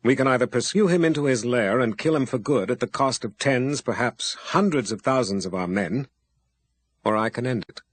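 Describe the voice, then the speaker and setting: A man's voice: medium pitch, expressive, moderate pace, close to an everyday voice. A man voicing a stern, powerful male wizard with firm, weighty speech. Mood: commanding.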